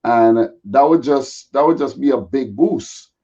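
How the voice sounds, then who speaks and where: A man with a slightly raspy voice chatting casually and in a laid-back way as a guest football pundit. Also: medium pitch, unhurried, mellow. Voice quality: a little raspy. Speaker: guest football pundit, Manchester City fan. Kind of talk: casual chat. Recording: dull, lo-fi audio.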